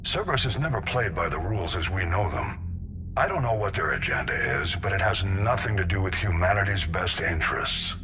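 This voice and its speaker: Grim low-voiced admiral. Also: male voice, animated, a little fast, background noise. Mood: blunt resolve. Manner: grave, authoritative, blunt. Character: grim, seasoned human male admiral, resolute and blunt. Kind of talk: video game voice acting.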